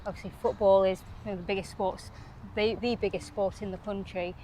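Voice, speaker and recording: A young woman with a Northwest English accent, chatting loosely as a guest in an outdoor pitchside interview. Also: steady tone, fairly quick, dark tone, a little hiss or hum. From Northwest England. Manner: loose, chatty phrasing with frequent hedging fillers. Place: outdoors at a cricket ground.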